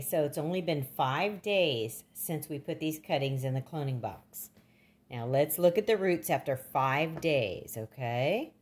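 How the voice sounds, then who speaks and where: An older woman giving a casual, plain-spoken how-to demonstration, recorded indoors in a kitchen. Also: sits low. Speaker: gardening demonstrator.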